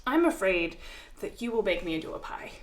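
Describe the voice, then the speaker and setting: An actress playing an awkward, nervous young interviewer who blurts tactless jokes in a comedic audio drama. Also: female voice, medium pitch, sing-song, a little fast, lightly acted, poor audio. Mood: nervous, jokey. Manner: blurting, skittish, joking.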